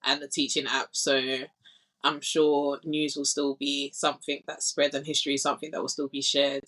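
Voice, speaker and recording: A woman's voice: a bass voice, level tone, normal speed, fairly bright tone, poor audio. A young woman with a London accent, a guest in a casual podcast interview, speaking thoughtfully and earnestly with frequent "like" and "you know". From England.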